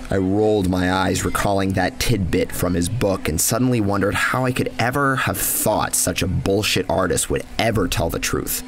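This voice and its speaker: A man with a low-pitched voice narrates first-person horror fiction. His delivery is measured and dramatic, with a cynical edge to the storytelling.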